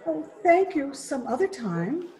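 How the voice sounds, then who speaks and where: A woman's voice: high-pitched, sing-song, a little slow, a loud crowd, very noisy, heavily acted. A woman playing a polite, evasive lodger in an old-time radio play, heard from off the mic on an echoey video call. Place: actor's home, recorded over video call. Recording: distant, echoey video call, faint voices behind.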